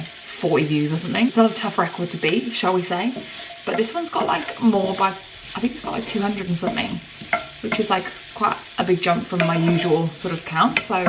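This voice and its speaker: A young woman in her twenties rambles chattily to camera, her low voice full of vocal fry. She sounds a little distant from the mic in a home kitchen, with a pan loudly sizzling behind her. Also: background noise. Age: 20s. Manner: rambling and chatty, lots of "like" fillers. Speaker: vlogger. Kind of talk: casual chat to camera. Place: home kitchen while cooking dinner. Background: frying food sizzling.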